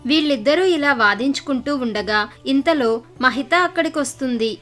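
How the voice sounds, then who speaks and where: Casting: female children's story narrator, high voice, scripted delivery, faint music underneath. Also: fairly quick, a bit bright.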